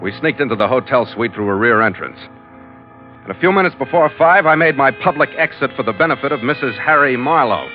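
Muffled hardboiled skipper played by an actor, music behind. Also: male voice, medium pitch, expressive, brisk, lightly acted. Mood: tense, wry. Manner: terse, wry, world-weary. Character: hardboiled, weary ship's skipper narrating his troubles. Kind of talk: old radio drama narration and dialogue. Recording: muffled vintage radio recording.

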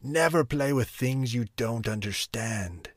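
A man's voice: mid-range voice, expressive, unhurried, no echo, clean studio sound. A man voicing a stern, commanding old male sorcerer in a storybook reading, in a raised voice unlike his own. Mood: angry.

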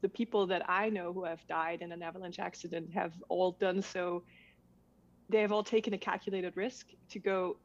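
A woman's voice: lowish voice, fairly even intonation, moderate pace. A woman from Denmark, a documentary filmmaker, chatting casually as a guest and laughing often. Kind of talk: casual conversation. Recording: dull lo-fi sound.

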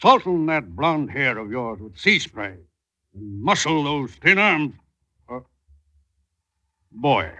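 Forceful, stern sea captain. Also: male voice, medium pitch, very animated, slow, long pauses, full character voice. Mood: stern, menacing. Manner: gruff, barking, commanding. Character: stern, domineering ship's captain hardening his cabin boy. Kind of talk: old-time radio drama acting. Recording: muffled vintage radio recording.

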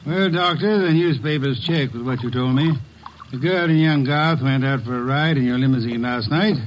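An actor playing a shrewd middle-aged detective in a 1940s radio drama, asking brisk, probing questions. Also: male voice, mid-range voice, slight background noise, natural voice, rough recording.

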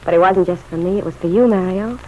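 A woman's voice: lowish voice, fast, a little mellow, natural voice. An actress playing an anxious, world-weary working-class woman in an old radio drama, with pleading, colloquial speech. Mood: hurt, hopeful. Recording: muffled, noisy old radio recording.

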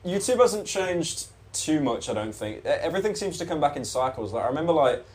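A man's voice: fairly high pitch, sing-song, a little fast, a little mellow. A man in his twenties, a podcast guest chatting casually in a rambling, laid-back way, with a lot of swearing. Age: 20s. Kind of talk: casual chat.

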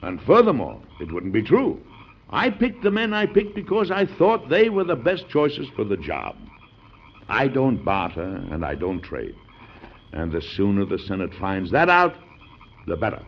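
An actor playing a principled, jovial, stubborn American president in an old-time radio drama, emphatic and folksy. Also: male voice, on the low side, huge pitch swings, unhurried, husky, full character voice. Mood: resolute. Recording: dull, lo-fi old radio recording.

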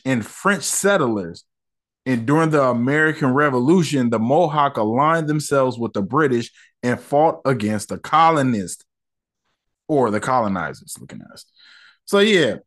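Laid-back man hosting a solo review podcast. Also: medium pitch. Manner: relaxed and conversational, with slang and frequent fillers. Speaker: podcast host reviewing an episode.

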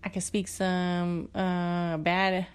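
A woman's voice: on the low side, completely flat delivery, extremely slow, clean tone. A woman hosting her own podcast alone, chatting casually and swearing freely, with lots of fillers and restarts. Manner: profane, frequent fillers and restarts. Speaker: podcast host. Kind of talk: casual solo podcast monologue.

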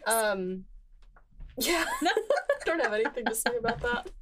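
A woman co-hosting a podcast, chatting casually with friends in a halting, hedging way. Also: a little high, huge pitch swings, background noise. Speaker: podcast co-host and producer. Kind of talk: casual chat among friends.

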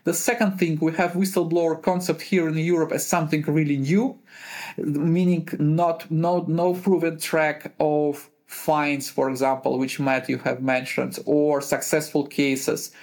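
A man from Uzbekistan, a compliance lawyer and podcast guest, answering questions in a measured way, pausing to find his words in non-native phrasing. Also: on the high side, little pitch movement, a little mellow. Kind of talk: thoughtful conversational answers. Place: remote call, based in Europe.

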